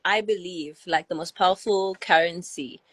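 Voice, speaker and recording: A young woman talks as a podcast guest in a low voice. She tells her story in an earnest, heartfelt way and fills it with "you know" and "like". The sound is dry and close. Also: normal speed, a bit bright, no echo. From South Africa. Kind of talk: personal storytelling in a podcast interview.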